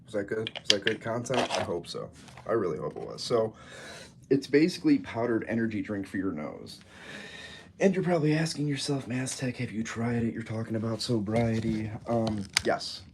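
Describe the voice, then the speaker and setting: Stuffy-nosed low-voiced man streaming. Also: animated, normal speed, background noise. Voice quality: nasal, stuffy. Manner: rambling, joking, easily sidetracked. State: congested from a cold. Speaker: livestreamer. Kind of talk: casual livestream chat. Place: his home room.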